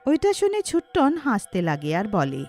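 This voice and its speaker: A woman's voice: mid-range voice, huge pitch swings, normal speed, darkish tone, soft background music. A woman narrating a scripted cartoon story in a dramatic storytelling style.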